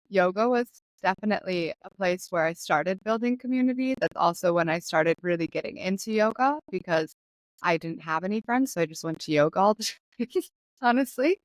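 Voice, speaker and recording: A young woman hosting a podcast in a relaxed, chatty way, laughing often as she talks. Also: on the low side, dry recording. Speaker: podcast host. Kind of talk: casual conversational chat.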